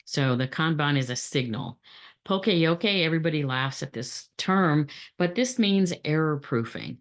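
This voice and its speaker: A middle-aged woman gives a training presentation on supply chain methods, speaking plainly with frequent fillers and restarts. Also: sits low.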